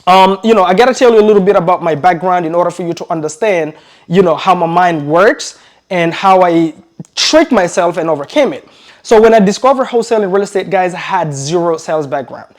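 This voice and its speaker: Energetic man coaching in accented English. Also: high voice, fairly quick. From grew up in Belgium. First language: not English. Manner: energetic, addresses viewers as "guys", many fillers and repetitions. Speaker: real estate coach. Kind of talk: motivational talk to camera.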